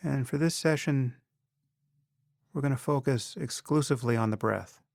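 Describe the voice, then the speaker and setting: A man leading a guided meditation as a teacher, in a measured, instructional manner with pauses between phrases. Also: mid-range voice, gravelly, darkish tone, dry recording, studio quality.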